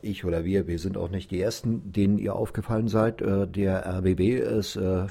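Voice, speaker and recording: A man's voice: sits low, fairly even intonation, moderate pace, gravelly, dark tone. A man hosting a casual, conversational interview as the one asking questions.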